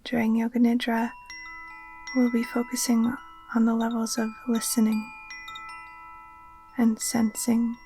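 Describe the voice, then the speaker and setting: A woman gently guiding a relaxation meditation in a soft, breathy, near-whispered voice, soothing and unhurried. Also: a little high, monotone, faint music behind. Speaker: yoga nidra teacher. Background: occasional chimes.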